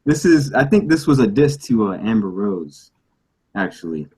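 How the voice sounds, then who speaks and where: Husky-voiced man in animated casual chat. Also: on the low side, moderate pace. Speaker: podcast host. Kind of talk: casual comedic group chat.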